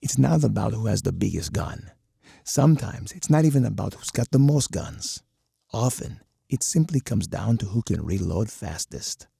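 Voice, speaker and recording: Hawkish game colonel: low, gravel-throated and husky, stern, measured, uncompromising strategist. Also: male voice, animated, mellow, dry recording, studio quality, full character voice. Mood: instructive. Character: uncompromising hawkish male army colonel, grim military strategist. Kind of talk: scripted game voice lines.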